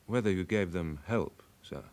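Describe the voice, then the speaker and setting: A British police inspector in a radio crime play. He is courteous, measured and relentless, with a fairly low voice and plenty of rise and fall. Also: animated, a little mellow. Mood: politely insistent. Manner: measured, deferential, insistent. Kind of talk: radio crime drama acting.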